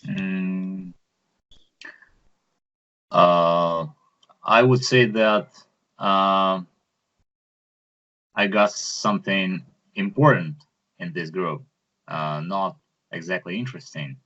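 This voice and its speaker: A man learning English in an online group, answering in a measured, thoughtful way. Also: sits low, steady tone, sharp tone. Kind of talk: casual chat. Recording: muffled online call.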